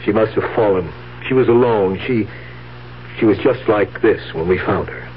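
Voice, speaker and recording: An actor playing a greedy, calculating nephew who feigns grief in a 1970s radio drama. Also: male voice, lowish voice, normal speed, close to an everyday voice. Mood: feigned grief. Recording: noisy, muffled 1970s radio recording.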